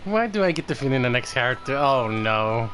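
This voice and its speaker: A man's voice: medium pitch, big pitch swings, brisk, fairly bright tone, faint music behind. A man giving casual, rambling live gameplay commentary, swearing and prone to giggling. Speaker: game streamer.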